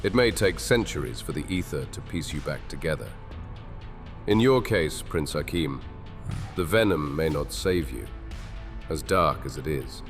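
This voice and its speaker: An actor playing a grieving, desperate king in a dark fantasy audio drama, speaking in slow, solemn, measured threats. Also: very deep voice, expressive, full character voice. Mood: grimly threatening. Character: grieving cursed old king, grave and desperate. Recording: reverberant with soft music behind.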